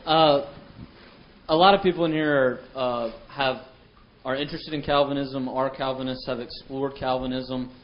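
A man's voice: medium pitch, steady tone, moderate pace, highs cut off. A man interviewing a guest, posing prepared questions hesitantly with many fillers and restarts.

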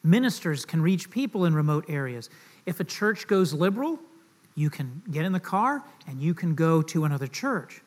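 A man's voice: fairly high pitch, big pitch swings, normal speed. Joking, conversational man preaching a sermon. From Southern United States. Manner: conversational and joking, asks the audience questions. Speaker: pastor.

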